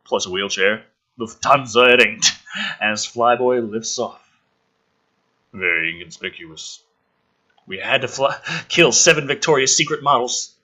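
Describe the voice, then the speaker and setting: A man's voice: mid-range voice, unhurried, pauses often, bright, forceful tone. A man hosting a channel, giving a sarcastic, theatrical script reading with exasperated, foul-mouthed commentary. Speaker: host of a gaming and film channel.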